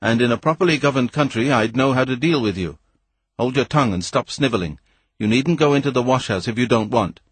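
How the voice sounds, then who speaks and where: A man voicing a massive, loud-voiced scientist in an audiobook, curt and contemptuous. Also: medium pitch, a little fast, breathy edge, no room sound, lightly acted. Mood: stern.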